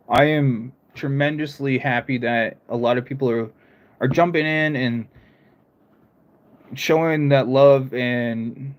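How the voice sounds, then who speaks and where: A young man whose American accent blends northern and southern sounds. He chats loosely with his viewers on a live stream, and he keeps halting and starting his sentences again. His voice sits at an ordinary pitch for a man. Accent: mixed American, northern and southern. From United States. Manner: rambling, stops and restarts, talks to chat. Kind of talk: casual live-stream chat.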